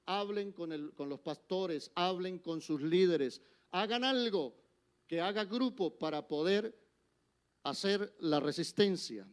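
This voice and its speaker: An older Colombian man preaching in church, his voice sitting a little higher than most men's. He drives his points home with forceful calls to action, then drops to soft, confiding asides. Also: animated, slow pace. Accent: Colombian Spanish. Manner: emphatic, swinging between forceful exhortation and soft, intimate asides. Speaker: guest preacher. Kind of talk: sermon.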